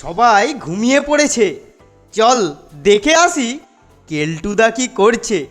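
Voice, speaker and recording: Cartoon schoolboy schemer, woman actor: high-pitched, bouncy intonation, cocky and conspiratorial, music underneath. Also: very animated, slow. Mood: curious, suspicious. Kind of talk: cartoon voice acting. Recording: loud music behind the voice.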